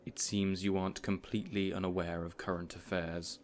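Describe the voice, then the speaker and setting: A man playing a cold, menacing supervillain in an audio roleplay, speaking in a measured, formal, quietly threatening way. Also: sits low, level tone. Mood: cold menace.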